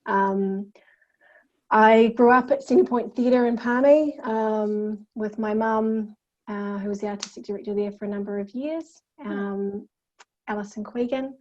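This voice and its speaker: A woman's voice: mid-range voice, steady tone, unhurried, darkish tone, dull audio. A woman introducing herself on a video call, hesitant and full of ums. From New Zealand. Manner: hesitant, with many ums and uhs. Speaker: theatre manager.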